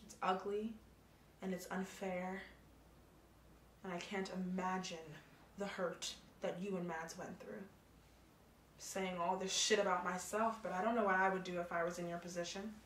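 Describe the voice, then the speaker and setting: An actress playing a blunt, profane but caring young college friend. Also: female voice, fairly low pitch, level tone, moderate pace, long pauses, a bit bright, reverberant, slight background noise, close to an everyday voice. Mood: earnest. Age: young adult.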